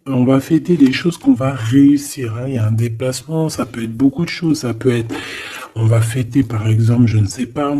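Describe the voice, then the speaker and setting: Slow, mellow man reading tarot. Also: mid-range voice, a little hiss or hum. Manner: hesitant, repeats phrases, frequent "hein" tags, familiar address. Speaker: tarot card reader, host. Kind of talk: casual improvised tarot reading addressed to the listener.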